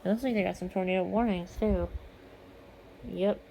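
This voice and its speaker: An American woman chats casually as if to her vlog viewers, with offhand, muttered asides. Her intonation is flat and her pitch sits in the middle, with faint noise in the recording. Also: normal speed, creaky, low-quality recording. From United States.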